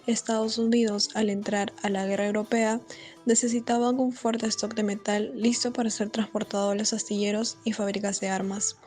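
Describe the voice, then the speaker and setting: A woman's voice: mid-range voice, flat delivery, normal speed, a little mellow, faint music behind. A woman narrator reading scripted narration for an amateur radio serial.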